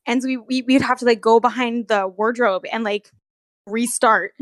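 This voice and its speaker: A woman telling work stories as a guest in a casual, animated, giggly chat with some swearing, on an amateur recording. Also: fairly high pitch, moderate pace, no echo. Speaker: guest, costumed theme park character performer. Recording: amateur recording with bad audio.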